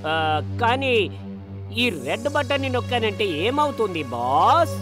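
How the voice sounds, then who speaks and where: A loyal but dim cartoon henchman. He speaks in a high, whiny, plaintive voice, sounding slow on the uptake. Music runs behind him. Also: sing-song, heavily acted. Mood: puzzled. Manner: slow-witted, plaintive, repeatedly addressing boss. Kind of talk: animated children's cartoon acting. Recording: background music under the voice.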